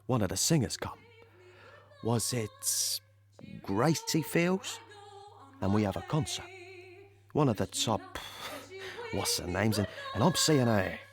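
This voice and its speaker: An actor playing a cheeky, proud British army supply driver with an English accent, chatting colloquially in an audio play. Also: male voice, medium pitch. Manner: chatty, colloquial, rambling, repeats himself. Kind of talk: first-person dramatic monologue in audio play.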